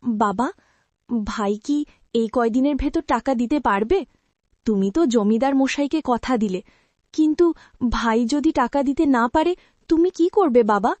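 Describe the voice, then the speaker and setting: A woman's voice: a little high, normal speed, pauses often, a little mellow, no echo, natural voice. A woman playing a devoted, resolute young village daughter in a cartoon, earnest. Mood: worried. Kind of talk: cartoon voice acting.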